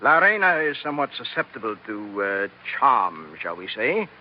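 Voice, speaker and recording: An actor playing a coldly menacing plantation manager, clipped and formal, in 1940s radio drama style. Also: male voice, lowish voice, sing-song, normal speed. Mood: icy menace. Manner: clipped, formal, veiled threats. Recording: muffled, noisy old radio broadcast.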